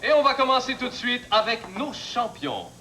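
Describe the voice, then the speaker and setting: A man's voice: very high-pitched, big pitch swings, moderate pace, sharp tone, exaggerated voice. A man playing a cheerful, polite game show host, with a Quebec French accent and a polished, ceremonious delivery. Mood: cheerful, formal.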